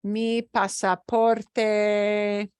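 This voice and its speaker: A woman teaching a class over a video call, with a Midwestern American accent. She talks slowly and patiently, pausing on fillers and restarting her sentences. Her voice sounds dry and close. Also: medium pitch, level tone, darkish tone, smooth, no echo. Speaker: Spanish teacher. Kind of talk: online class lesson.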